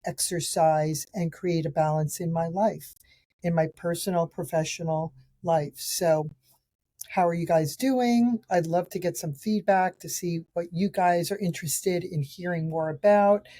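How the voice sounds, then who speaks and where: Middle-aged woman host, a cold has made her raspy. Lowish, warm voice, chatty and rambling, recorded outdoors. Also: fairly even intonation, measured pace. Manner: chatty, rambling, with restarts and fillers. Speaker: podcast host and nutrition practitioner. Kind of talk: casual solo podcast talk reviewing products.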